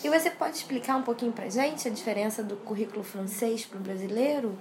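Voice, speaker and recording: A woman with a somewhat higher voice interviews a guest, asking follow-ups and prompting with short replies. Faint noise runs behind her. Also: brisk. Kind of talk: casual interview questions.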